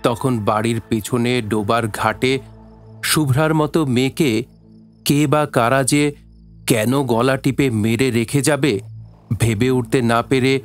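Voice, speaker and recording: Man playing audio-drama narrator of a village mystery, medium pitch, literary phrasing, light music bed. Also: takes it slowly, close to an everyday voice. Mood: solemn, suspenseful. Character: male storyteller of a village murder mystery.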